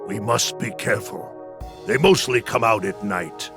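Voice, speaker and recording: An actor playing a grave, watchful warrior guide in a video game, speaking in a measured way. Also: male voice, lowish voice, music in the background, lightly acted. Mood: grave, wary.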